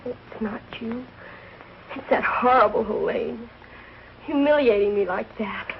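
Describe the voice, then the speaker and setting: Emotional teenage daughter, highish voice. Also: female voice, unhurried. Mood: hurt, tender. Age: young adult. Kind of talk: 1940s American sitcom acting. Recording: dull old broadcast, faint hiss.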